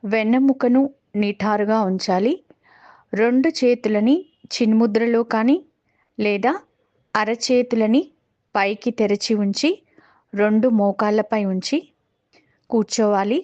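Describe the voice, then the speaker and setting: A woman with a Telugu accent guiding a meditation in a calm, measured way, pausing between short phrases. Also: on the high side, dull audio. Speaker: meditation guide. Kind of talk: guided meditation instruction.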